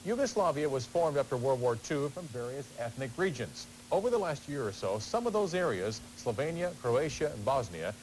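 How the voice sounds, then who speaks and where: A male news anchor reading studio news in a steady, authoritative way. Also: medium pitch, slight background noise, poor audio.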